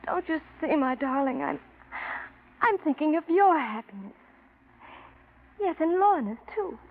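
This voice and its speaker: A woman's voice: sits high, very animated, a little slow. An actress playing a scheming, passionate woman with a theatrical, imperious manner in a 1940s radio drama. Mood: ardent. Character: scheming, passionate woman in love with her cousin's fiance. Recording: dull vintage radio recording.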